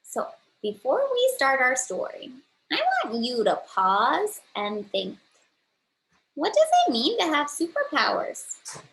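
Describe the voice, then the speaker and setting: Female early-grades teacher, slow and very animated, emphatic, explaining simply, faint noise behind. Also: high voice, low-quality recording. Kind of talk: read-aloud lesson for young children.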